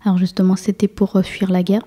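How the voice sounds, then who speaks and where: Young woman in her 20s, interview guest; monotone, hesitant, restarts a lot. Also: medium pitch, fast. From France. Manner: hesitant, many fillers and restarts. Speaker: guest, municipal councillor. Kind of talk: casual interview chat.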